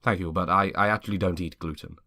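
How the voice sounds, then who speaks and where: A man acts out a bleary, guarded artist in a scripted drama. He speaks hesitantly but politely, in a bright-edged voice with a dry studio-close sound. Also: low-pitched, fairly even intonation, fast, no echo. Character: guarded, bleary-eyed male artist neighbour, politely declining.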